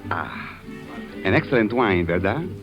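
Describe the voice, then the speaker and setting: Smooth flirty cuban guy, spanish accent, swoopy low voice, music behind, vintage radio commercial. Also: sing-song, unhurried. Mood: suave. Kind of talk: 1940s radio advertisement acting. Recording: dull old radio recording with music.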